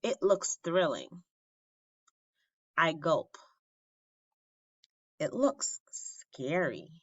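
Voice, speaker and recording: A woman reads a children's story aloud expressively, with dramatic pauses and emphasis on key phrases. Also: deep voice, wildly swooping pitch. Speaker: storybook reader at an elementary school. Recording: roomy, slightly dull lo-fi recording.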